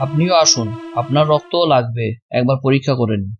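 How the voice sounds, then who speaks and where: Quick-talking cartoon doctor, played by a man. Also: medium pitch, soft background music, lightly acted. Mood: reassuring. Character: brisk, reassuring village doctor. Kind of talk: cartoon dubbing.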